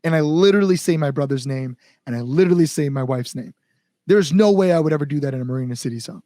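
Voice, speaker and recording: A man's voice: on the high side, quick pace, warm tone, no echo, studio quality. A man speaking casually as an interview guest, earnest and rambling, repeating words for emphasis. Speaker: band frontman and songwriter, podcast guest. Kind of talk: casual podcast interview chat.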